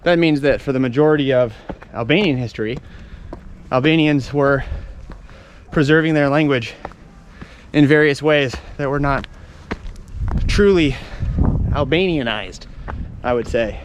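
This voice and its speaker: A man's voice: mid-range voice, a little slow, slight background noise. A man talking casually and informally as a travel vlogger, explaining things unscripted while walking around outdoors. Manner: chatty, informal, explaining as he explores.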